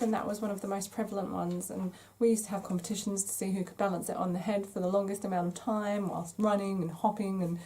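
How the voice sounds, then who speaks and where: Woman, craft vlog host, casual chat, gushing enthusiasm, soft mellow tone, noisy recording with clicks. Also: medium pitch.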